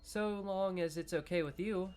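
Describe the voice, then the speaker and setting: A man's voice: high-pitched, moderate pace, darkish tone, clear, resonant tone, faint music behind, close to an everyday voice. A man reading as a sweet, eerie young woman. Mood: coy. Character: cheery, odd young woman with an eerie smile.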